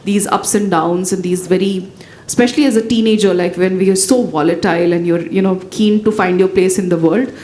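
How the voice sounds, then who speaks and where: A woman's voice: fairly even intonation, brisk, mellow. Thoughtful woman writer in an echoey hall. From India. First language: Bhojpuri. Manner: thoughtful, many fillers and restarts. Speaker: writer on a panel. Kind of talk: unscripted panel answers. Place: event hall with audience. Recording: echoey hall, faint rumble.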